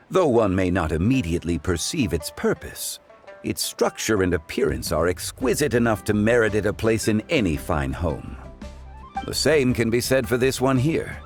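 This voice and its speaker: A composed ancient gentleman, lowish voice, lively intonation, formal and courteous, game character. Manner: formal, measured, courteous and eloquent. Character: ancient, composed male consultant of refined taste. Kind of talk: video game voice acting.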